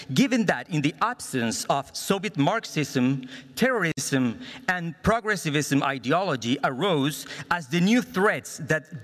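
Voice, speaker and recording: High-pitched Chilean man speaking in an echoing hall. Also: a bit bright. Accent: Chilean Spanish. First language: Spanish. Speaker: conference speaker at a political summit. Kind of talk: formal prepared political speech read aloud. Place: conference hall in Budapest. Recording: reverberant large hall sound.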